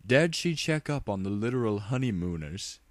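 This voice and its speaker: A man narrates a comic story in a fairly low voice. He is mock-dramatic and wry, slipping between reading and his own commentary. The recording is dry, with little room sound. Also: very animated, normal speed, no room sound.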